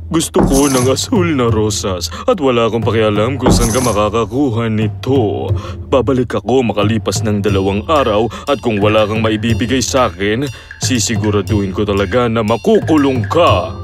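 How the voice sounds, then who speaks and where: A man's voice: on the low side, normal speed, over music, natural voice. A man playing an arrogant, demanding rich merchant in a fairy tale dub.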